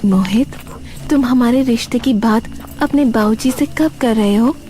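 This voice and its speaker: A man's voice: very high-pitched, unhurried, dark tone, pitched above the performer's own voice. A man voicing a cheerful, curious young village woman in love in an audio horror story. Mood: questioning, tender.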